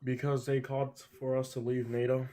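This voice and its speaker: Male caller, mid-pitched voice, hesitant, clears his throat. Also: little pitch movement, brisk, dark tone. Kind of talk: call-in debate on a livestream.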